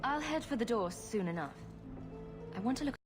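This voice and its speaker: An actress playing a blunt, wry, self-assured adventurer in a video game, with a dry and casual delivery. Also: female voice, on the high side, expressive, moderate pace, over music, natural voice. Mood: dry, guarded.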